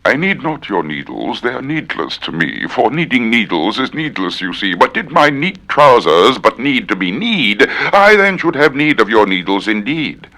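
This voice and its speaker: Theatrical man reciting, very animated pitch. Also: moderate pace, hardly any pauses. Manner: precise, dramatic enunciation. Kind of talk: theatrical tongue-twister recitation.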